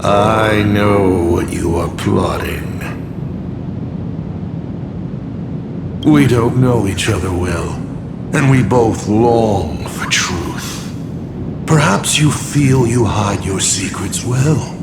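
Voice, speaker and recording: A man voicing a scheming artist villain in a video game, with a grandiose, measured, taunting delivery. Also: fairly low pitch, vocal fry, heavy background noise. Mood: menacing. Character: theatrical scheming male artist villain playing games of secrets. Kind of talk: dramatic video game acting. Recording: loud music behind. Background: loud music.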